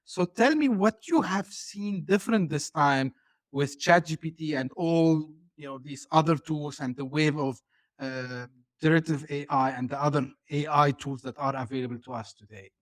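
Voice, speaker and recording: A man's voice: a little high, normal speed, dry recording. A man hosting an interview podcast, asking long, rambling questions over a video call. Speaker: podcast host.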